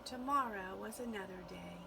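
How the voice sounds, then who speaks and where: A woman giving an expressive, deliberate children's read-aloud, in a noisy recording. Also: mid-range voice, vocal fry. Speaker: storybook reader.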